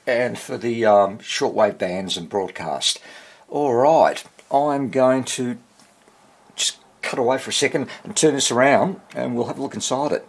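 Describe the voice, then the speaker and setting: A man hosting a casual, unscripted radio repair walkthrough, thinking aloud hesitantly and muttering questions to himself, in a husky, gravelly voice. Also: medium pitch, a little slow. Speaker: radio repairer and video host.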